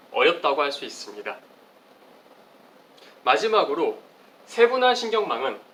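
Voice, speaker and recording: A man's voice: on the high side, a bit bright. A man delivers a formal academic presentation as a research presenter, explaining phrase by phrase. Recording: distant mic, faint background noise.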